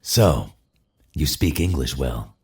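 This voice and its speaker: A man playing a gruff superhero loner, menacing yet flirtatious, with a rough gravelly voice in an audio drama. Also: very deep voice, animated, slow, darkish tone, full character voice. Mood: flirty.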